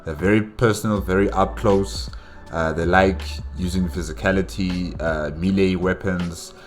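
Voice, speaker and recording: Deep voiced guy doing casual reaction commentary, kinda halting and repeats himself, bit of music behind. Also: steady tone. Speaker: reaction video host.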